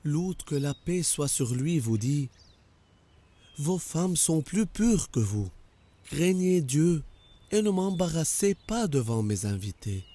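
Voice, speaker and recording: A man playing a prophet's devoted, anxious servant in an animated religious story, speaking in a solemn, measured way. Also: fairly high pitch, full character voice. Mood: solemn concern.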